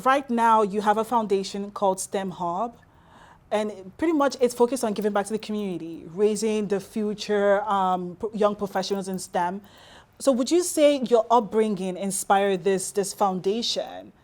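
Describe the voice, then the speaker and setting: A woman's voice: medium pitch, normal speed, darkish tone. A woman hosting an interview in a conversational, effusive and admiring way. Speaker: interview host.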